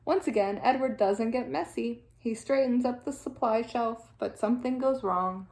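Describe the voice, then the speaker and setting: A woman teacher reading a children's storybook aloud in an expressive, animated way with deliberate phrasing. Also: fairly high pitch. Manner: animated, deliberate phrasing with dramatic sound-effect words. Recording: echoey room sound.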